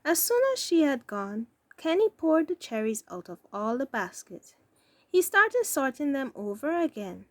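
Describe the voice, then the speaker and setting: A woman reading a children's story aloud as narrator, with deliberate, careful phrasing. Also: high voice, very animated, no room sound. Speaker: story reader.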